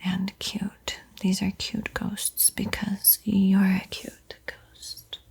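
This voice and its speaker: A woman teaches in a hushed ASMR whisper, extremely airy and soft. Her delivery is slow and encouraging, with a dark, mellow tone. It sounds like a calm step-by-step tutorial for beginners. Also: mid-range voice. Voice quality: breathy whisper. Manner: slow, gentle, encouraging instruction.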